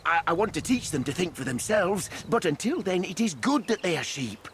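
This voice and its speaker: A man's voice: sits high, very animated, brisk, slight background noise. A man voicing a small, zealous revolutionary fairy in a video game, pompous and declamatory, as if addressing comrades. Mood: earnest lecturing. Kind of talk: video game character monologue.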